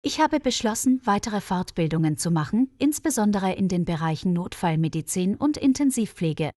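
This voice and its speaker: Woman voicing an earnest, calm male nurse. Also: medium pitch, moderate pace, dry recording, clean studio sound, natural voice. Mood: earnest, reflective. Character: dedicated, modest, experienced male nurse.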